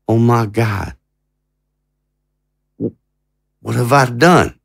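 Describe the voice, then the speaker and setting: An actor playing an anguished, indignant and naive president in an audio drama, with stammering, rhetorical speech. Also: male voice, mid-range voice, very slow, creaky, husky, no echo, studio quality, exaggerated voice. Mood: incredulous. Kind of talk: dramatic audio fiction acting.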